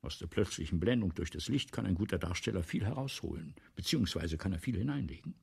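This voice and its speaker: A man acting in a German radio play as a grandiose, excitable playwright, pompous and theatrical, moving between whispers and outbursts. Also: sits low, fast, vocal fry, breathy edge, exaggerated voice. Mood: scheming, storytelling. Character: grandiose, excitable male playwright scheming a lurid melodrama.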